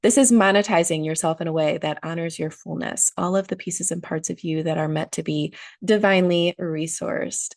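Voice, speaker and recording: A woman hosts her own podcast with a low, gravelly voice that has a lot of vocal fry. A cold leaves her sounding nasal as she talks earnestly, leaning on key phrases and repeating them. The recording is dry and close. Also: steady tone, moderate pace, few pauses, darkish tone, dry recording. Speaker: podcast host. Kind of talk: solo motivational podcast talk.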